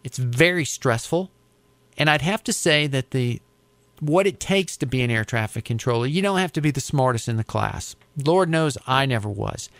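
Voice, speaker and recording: A man's voice: medium pitch, lively intonation, moderate pace, fairly bright tone, no echo. A middle-aged man with an American accent explaining his career in an informal interview, conversational, often repeating words as he thinks. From United States. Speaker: interviewee, air traffic controller.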